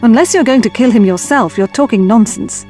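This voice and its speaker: A woman's voice: fairly high pitch, fairly quick, warm tone, faint music behind, lightly acted. A woman playing an anxious, blunt, determined young woman in an audio drama. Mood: urgent, determined. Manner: urgent, questioning, wry.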